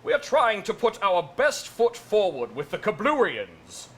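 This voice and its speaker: An actor playing a weary, skeptical starship captain, tactful but exasperated, in a live comedy stage reading. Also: male voice, high voice, huge pitch swings, measured pace, a bit bright, a little hiss or hum, heavily acted. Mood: weary, reluctant. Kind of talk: musical comedy stage acting.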